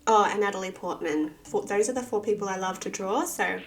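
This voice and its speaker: A woman, husky from a cold with a bit of vocal fry, chatting casually and self-deprecatingly through a sketchbook tour. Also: mid-range voice, fairly quick, a little hiss or hum. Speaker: artist and channel host.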